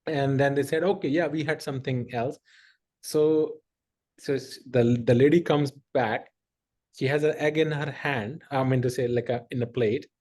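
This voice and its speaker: A man's voice: medium pitch, fairly even intonation, moderate pace, darkish tone. A middle-aged man, hosting a podcast and telling stories in a rambling, filler-heavy way with lots of laughter. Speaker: podcast host. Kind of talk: casual conversational storytelling.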